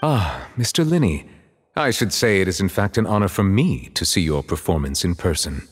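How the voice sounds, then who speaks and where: Stately male game judge: low, formal, reserved, expressive intonation, reverberant. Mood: courteous, formal. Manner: courteous, measured, ornate formal wording. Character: dignified, formal, reserved male chief justice. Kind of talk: video game character acting.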